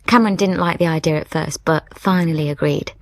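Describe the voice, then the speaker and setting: Close, dry sound frames a reflective young widow telling her own story. Her voice is on the low side, in an intimate radio drama narration style. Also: steady tone, fairly quick, dry recording. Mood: hopeful, tender. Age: young adult. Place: dry studio.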